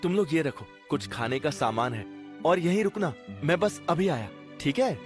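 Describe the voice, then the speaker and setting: A generous village farmer talks with care in a lively, somewhat high voice. Clear background music plays under him throughout. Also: male voice, normal speed. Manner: warm-hearted, caring, expressive. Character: kind, generous farmer hero, middle-aged. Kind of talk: cartoon voice acting.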